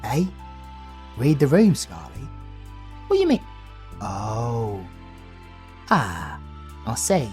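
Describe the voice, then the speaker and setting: A man with a British accent voicing a cheeky, chatty comic sidekick who makes quick gossipy asides. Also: mid-range voice, huge pitch swings, darkish tone, soft background music, voice pushed down.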